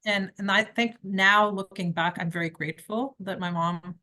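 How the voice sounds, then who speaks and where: A woman's voice: medium pitch, fairly even intonation, normal speed, pushed and bright. A middle-aged woman from Quebec, a criminal defence lawyer, giving direct, emphatic advice in an interview. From Quebec, Canada. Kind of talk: conversational interview answers.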